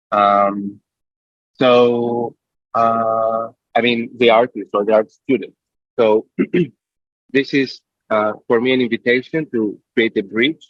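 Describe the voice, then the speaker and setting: A man's voice: mid-range voice, no echo. A man hosting an online panel, introducing the talk casually and hesitantly with many fillers. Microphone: video call. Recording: dry, muffled video call audio.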